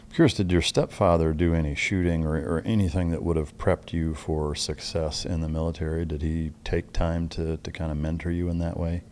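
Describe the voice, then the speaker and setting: A male host with an extremely low, dark, mellow voice asks questions in an easy, curious way, restarting as he finds his words. He speaks close to the mic, with a faint hiss underneath. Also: flat delivery, a little fast, few pauses. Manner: curious, searching for words while asking. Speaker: podcast host. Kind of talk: interview questions.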